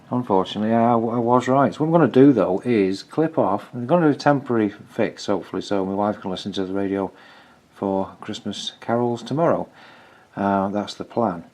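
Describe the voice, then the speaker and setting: Low, mellow man thinking aloud. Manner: informal, thinking aloud, tentative. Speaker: hobbyist repairer presenting own video. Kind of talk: casual hands-on repair commentary.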